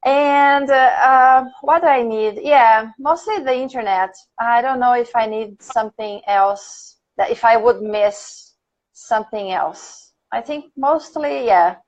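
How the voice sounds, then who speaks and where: A woman's voice: fairly high pitch, takes it slowly, dull audio. A woman from Brazil with a Brazilian accent, whose first language is Portuguese, leading a casual English conversation class on a livestream. She talks in a chatty way, laughs often and thinks aloud with fillers. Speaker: English teacher.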